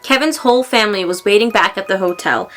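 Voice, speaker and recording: A woman reads a children's storybook aloud in an expressive way, acting out the exclamations and dialogue. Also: medium pitch, fast talker, soft background music. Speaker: storybook reader.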